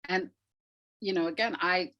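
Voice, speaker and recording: A woman speaking as an interview guest, answering conversationally in a reflective, emphatic way with pauses between phrases. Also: on the low side, dull audio. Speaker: interview guest, arts practitioner.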